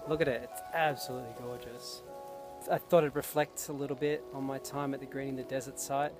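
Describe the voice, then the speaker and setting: A man's voice: mid-range voice, level tone, a little fast. A man talking casually and earnestly to camera outdoors, reflecting with enthusiasm. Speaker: vlogger and permaculture intern. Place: outdoors at a desert garden site. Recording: loud music behind the voice.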